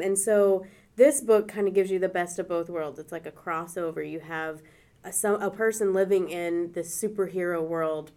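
A woman co-hosting a library podcast, chatting casually about a book she is reading, with fillers. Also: fairly low pitch. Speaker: podcast co-host.

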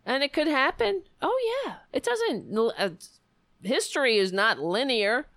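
A woman hosting her own political show, hoarse from losing her voice. She rants in a sarcastic, scattered way, with a slow, drawn-out pace. Her voice is recorded dry and close, with no room sound. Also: high-pitched, big pitch swings, a bit bright, no room sound. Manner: ranting, sarcastic, scattered asides. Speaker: political podcast host. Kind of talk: solo political commentary.

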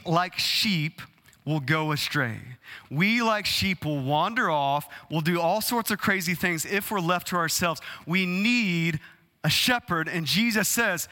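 Bright-voiced pastor in an echoing sanctuary. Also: male voice, fairly high pitch. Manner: conversational, addresses the congregation directly. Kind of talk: sermon. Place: church sanctuary. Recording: long reverb of a large hall.

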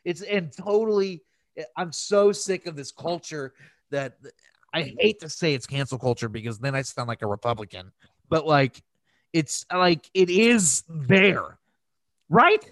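Exasperated man ranting, slightly high voice. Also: animated, unhurried, fairly bright tone, no room sound. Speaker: podcast host. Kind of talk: casual opinionated podcast chat.

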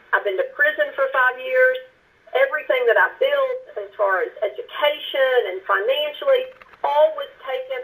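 Earnest halting woman sharing her testimony. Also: sits high, a bit bright, low-quality recording. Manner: earnest, halting, with many restarts and repeated words. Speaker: guest minister. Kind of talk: personal testimony in a faith interview.

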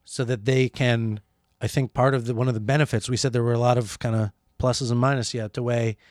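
A man co-hosting a satirical comedy chat, deadpan and mock-serious, often restarting his sentences. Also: mid-range voice, a little fast, dry recording, clean studio sound. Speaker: podcast co-host.

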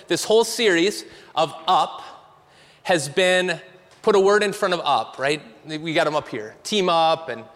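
A man hosting a church service from the stage, his voice sitting fairly high for a man as he talks up the people around him with plenty of excitement. A stage mic carries his voice into a big hall with a long reverb tail. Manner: enthusiastic, hyping up the guest.

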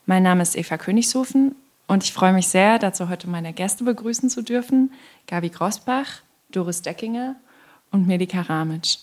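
A woman hosting a podcast, reading a scripted introduction and then asking calm questions. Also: medium pitch, moderate pace. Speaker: podcast host.

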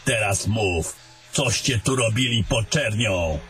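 A man's voice: fairly low pitch, takes it slowly, fairly bright tone, faint music behind. A man playing a scornful, domineering battle mage in a fantasy audio drama, with a commanding and coarse way of talking. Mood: defiant, contemptuous.

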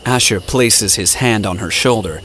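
A man's voice: on the low side, moderate pace, fairly bright tone, slight background noise. A man reading scripted, dramatic story narration for an audio drama. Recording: music playing behind the voice.

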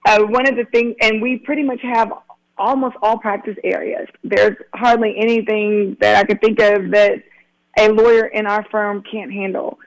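Raspy high-voiced man on a phone line. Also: little pitch movement, normal speed. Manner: earnest, rambling with restarts. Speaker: attorney guest. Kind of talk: casual radio interview.

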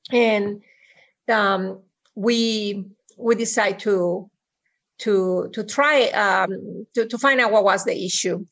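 A middle-aged woman from Mexico with a Mexican Spanish accent, a podcast guest telling her story over a remote call, with frequent pauses and restarts. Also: medium pitch, gravelly, a bit bright. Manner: halting, many ums and restarts. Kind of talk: personal story in an interview.

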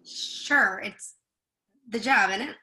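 Woman doing wry bawdy young model girl, teasing, bright sharp voice, stage play reading. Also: medium pitch, slow pace. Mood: curious, wry.

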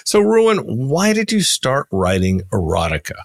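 A man's voice: medium pitch, wildly swooping pitch, unhurried, gravelly. A man hosting a podcast, reading scripted promos and introductions and putting questions to a guest. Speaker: podcast host.

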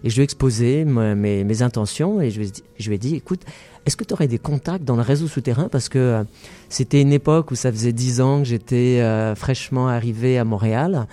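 Man from France, interview guest, measured and hesitant, mellow timbre. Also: medium pitch. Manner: measured, hesitant with many fillers. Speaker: festival founder. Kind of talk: interview conversation.

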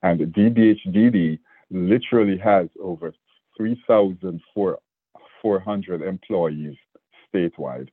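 A man in his sixties with a Jamaican accent, a state division director speaking earnestly and deliberately in a radio interview. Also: sits low, husky, no echo. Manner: earnest, measured, with restarts. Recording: dry, phone-like radio line.

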